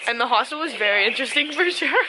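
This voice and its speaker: A woman's voice: sits high, lively intonation, fast talker, heavy background noise. A woman in her twenties chatting casually to a handheld camera as a travel vlogger, outdoors. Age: 20s. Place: outdoors on a trip. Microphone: camera at arm's length.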